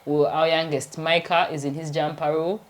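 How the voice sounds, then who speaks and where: A woman's voice: low-pitched, flat delivery, moderate pace. A Ugandan woman in her thirties with a Ugandan accent, hosting a chatty, rambling home vlog. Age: 30s. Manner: chatty, laughs often, rambling. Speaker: vlogger and doctor. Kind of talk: casual vlog chat.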